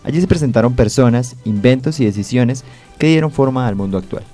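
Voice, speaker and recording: A man in his twenties hosting a radio segment, talking in a relaxed, conversational way. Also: medium pitch, brisk, faint music behind. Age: 20s. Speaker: radio host.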